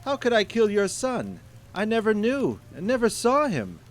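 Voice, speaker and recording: A man's voice: sits high, huge pitch swings, moderate pace, voice pushed up. A man doing a trembling, pleading merchant. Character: terrified pleading middle-aged merchant.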